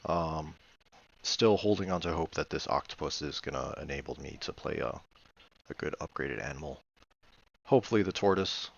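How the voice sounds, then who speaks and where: A man with a low voice talks through a replay of a board game in a casual, matter-of-fact manner. He thinks aloud with hesitant pauses, and his voice is gravelly with plenty of fry. The audio is slightly dull and lo-fi. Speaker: board game player reviewing own replay.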